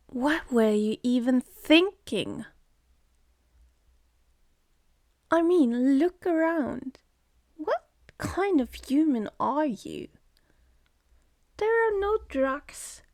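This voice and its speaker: A woman's voice: high voice, darkish tone, no echo, exaggerated voice. A woman voicing a sardonic, seductive demon in an ASMR roleplay, mocking and contemptuous, speaking close to the mic. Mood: scornful.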